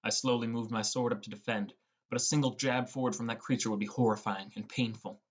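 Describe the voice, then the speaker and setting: A young man narrates a fantasy adventure in the first person. His pitch sits in the middle for a male voice. The delivery is that of a scripted audiobook reading. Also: fairly even intonation, fast talker.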